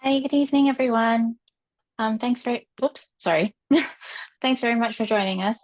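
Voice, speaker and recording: A woman's voice: fairly high pitch, steady tone, moderate pace, darkish tone, no echo. A woman from Singapore, a physician, introducing herself on a video call. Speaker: infectious disease physician, deputy director. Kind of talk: webinar self-introduction.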